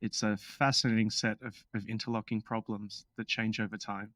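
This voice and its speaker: A man's voice: fairly low pitch, flat delivery, a bit bright, no room sound. A man hosting a podcast in a casual, upbeat way, with joking asides. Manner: upbeat, jokey, quick asides. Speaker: podcast host. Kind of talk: casual podcast chat with read disclaimer.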